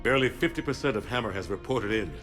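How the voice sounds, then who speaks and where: A man's voice: medium pitch, animated, fast talker, over music, natural voice. Hard-bitten game admiral, played by a man: mid-range voice, clipped briefing delivery, determined, light score behind. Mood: grave, businesslike. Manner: authoritative, clipped military briefing. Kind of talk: video game cutscene acting.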